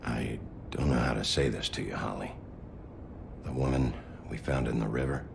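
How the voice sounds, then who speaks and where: An actor playing a gruff, weary lawman in a video game, curt and brusque. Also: fairly even intonation, moderate pace, background noise, heavily acted. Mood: grave. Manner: curt, low-key, brusque.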